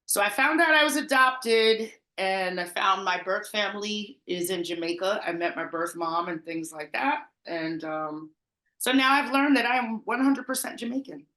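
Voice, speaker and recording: A woman's voice: medium pitch, normal speed, roomy sound. A middle-aged woman from the Bronx, telling stories in a casual interview and laughing often. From the Bronx, New York. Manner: animated, chatty, laughs often, trailing restarts. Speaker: party promoter, interview guest.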